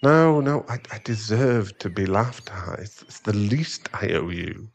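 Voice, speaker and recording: A man's voice: on the low side, lively intonation, a little slow. A man playing a remorseful sugar-daddy boyfriend in an ASMR audio roleplay, hesitant and stammering as he earnestly pleads for forgiveness. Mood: soft, devoted.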